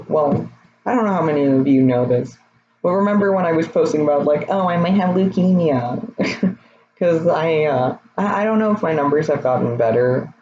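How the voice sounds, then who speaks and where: Slightly raspy young man, candid vlog. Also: medium pitch, a little mellow. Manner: candid, rambling, hesitant with many fillers. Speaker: vlogger. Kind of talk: casual personal vlog. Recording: slightly dull, faint noise.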